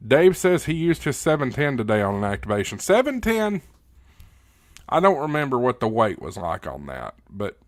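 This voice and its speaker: A man's voice: mid-range voice, wildly swooping pitch, normal speed, dry recording, clean studio sound. A man hosting a casual live Q&A, reading out viewer comments and answering in a folksy, plain-spoken way. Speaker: livestream host.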